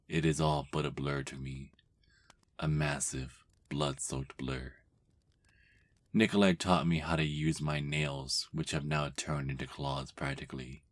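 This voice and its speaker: Man voicing a very deep, brooding vampire. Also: full character voice. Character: weary, brooding centuries-old male vampire with a youthful body. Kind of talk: dramatic diary monologue, ASMR audio roleplay.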